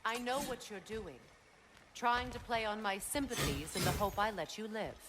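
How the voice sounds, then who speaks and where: A woman's voice: medium pitch, normal speed, heavy background noise, natural voice. A woman playing a proud, grieving, vengeful goddess in a video game, with a guarded, cutting delivery. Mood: bitter, defensive. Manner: guarded, cutting, dryly sardonic. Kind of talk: cinematic video game acting.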